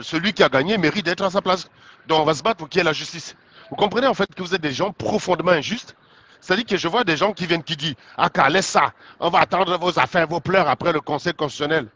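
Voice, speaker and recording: A man's voice: a little high, fairly bright tone, highs cut off. A man with a head cold, giving an indignant political monologue, full of rhetorical questions and restarts. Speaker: political commentator. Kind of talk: casual monologue to followers.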